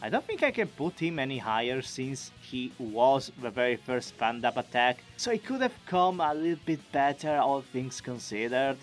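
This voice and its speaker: Man, lively swinging intonation, enthusiastic creator narration, chatty scripted recap, faint music behind. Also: medium pitch, moderate pace, few pauses. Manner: enthusiastic, expressive. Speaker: video creator and amateur voice actor.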